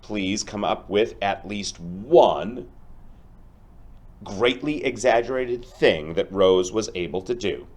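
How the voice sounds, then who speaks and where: A man teaching through a storybook read-aloud, speaking deliberately and explaining things directly to his pupils. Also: on the low side, lively intonation, a little mellow.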